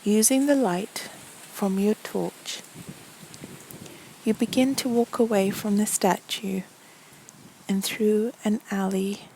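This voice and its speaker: A woman narrating a guided meditation story in a soothing, deliberate way, with a soft, breathy voice that often nears a whisper and many pauses between short phrases. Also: medium pitch, a little hiss or hum, poor audio.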